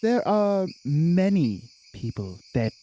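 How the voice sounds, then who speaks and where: A man playing a dismissive, condescending jungle guide in a tabletop game, deadpan in manner. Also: fairly high pitch, slow pace, voice pushed up.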